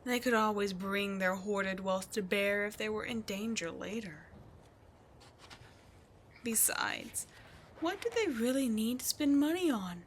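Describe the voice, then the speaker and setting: A woman's voice: mid-range voice, expressive, unhurried, long pauses, slight background noise, lightly acted. A woman playing a taunting demon queen. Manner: sly, taunting, drifting into whispers. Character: scheming female demon queen, mocking and cold. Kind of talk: ASMR roleplay audio drama.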